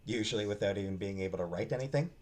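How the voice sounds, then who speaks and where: A man giving a casual, unscripted book review in a halting, hesitant way, often trailing off and restarting. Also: fairly low pitch, darkish tone. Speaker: book reviewer, vlog host.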